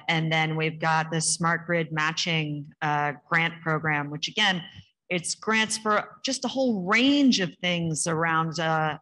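A woman in her forties speaking as a panelist on a conference stage, explaining policy in a measured way into a handheld mic. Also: fairly low pitch, pushed and bright. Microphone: handheld microphone.

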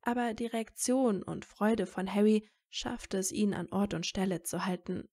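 A woman's voice: mid-range voice, measured pace, warm tone. Female narrator for fan fiction audio drama. Middle register, scripted storytelling delivery.

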